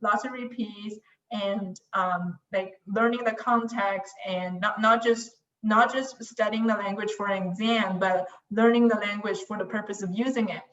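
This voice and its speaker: A woman's voice: mid-range voice, steady tone, normal speed, fairly bright tone. A woman whose first language is Mandarin, chatting casually and self-deprecatingly, often restarting her sentences. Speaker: guest, language website creator. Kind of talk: casual conversation. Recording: distant, roomy, dull lo-fi sound.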